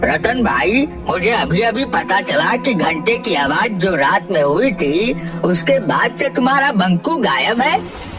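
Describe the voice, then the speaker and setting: A woman voicing a solemn village elder in an animated folk tale. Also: medium pitch, slow pace, distant mic, over music. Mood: sympathetic. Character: solemn village elder telling of a haunted temple.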